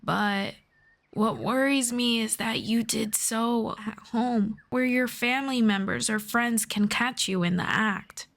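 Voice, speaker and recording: Woman acting as long-lived elf friend, gentle, earnest, soft-spoken, lightly high pitch, very unhurried delivery, ASMR audio roleplay. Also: gravelly, full character voice. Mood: concerned. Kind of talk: slow scripted ASMR roleplay.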